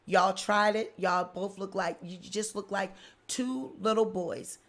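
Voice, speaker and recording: A middle-aged woman with a nasal voice, hosting a live celebrity gossip stream in a sassy, animated way, recorded on a studio mic in a small studio. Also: medium pitch, a little slow. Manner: sassy, animated, swearing and laughing. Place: small studio with blue backdrop. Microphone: large studio mic on boom arm.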